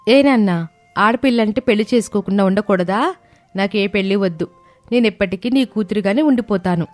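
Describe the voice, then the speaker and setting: A woman's voice: mid-range voice, fairly quick, soft background music, close to an everyday voice. A woman voicing a loyal daughter of about thirty, earnest and protective, in a moral story. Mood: stubborn, consoling. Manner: indignant, protective of her father.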